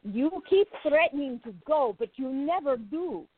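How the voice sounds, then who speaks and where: A woman's voice: sits high, lively intonation, measured pace, no room sound. A woman playing an outgoing, teasing gallery worker in a radio play, talking in a playful, emphatic way. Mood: playful, friendly. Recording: tinny, phone-like narrow sound.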